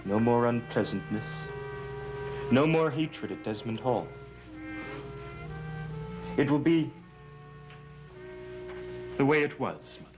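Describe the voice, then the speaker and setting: An actor playing a manipulative, wounded grown son in a gothic soap opera, melodramatic and persuasive. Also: male voice, mid-range voice, animated, takes it slowly, pauses often. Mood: soothing, dreamy. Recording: dramatic brass music behind the voice.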